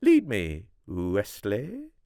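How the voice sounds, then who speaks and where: A man's voice: lowish voice, wildly swooping pitch, very slow, warm tone, clean tone, no echo, clean studio sound, voice pushed up, heavily acted. A man voicing a haughty, flirtatious countess in an audiobook, with a posh British accent and an imperious drawl. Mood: coy, commanding. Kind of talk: audiobook character voice.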